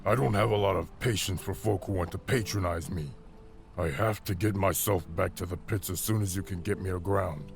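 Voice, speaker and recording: A man voicing a huge, proud, surly half-ogre warrior in a fantasy audiobook, grumbling bluntly with a gravelly voice. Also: sits low, fairly quick, faint music behind. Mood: gruff, impatient.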